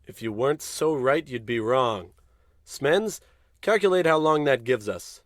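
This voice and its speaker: An actor playing a pompous, gung-ho American space captain in a parody of old radio adventure serials, declaiming orders with heroic self-importance. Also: male voice, medium pitch, expressive, a little slow, a bit bright, no echo, clean studio sound. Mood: pompous command.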